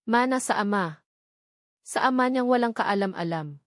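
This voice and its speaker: A woman with a Filipino accent reading a first-person dramatic love story in an emotive way, with short deliberate phrases. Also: on the high side, expressive, no echo, studio quality. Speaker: story narrator.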